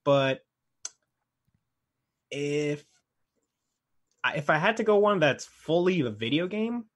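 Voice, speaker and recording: A man's voice: a little high, animated, slow. A man co-hosting a podcast, talking informally and hesitantly as he thinks aloud. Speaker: podcast co-host. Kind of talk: casual conversational chat.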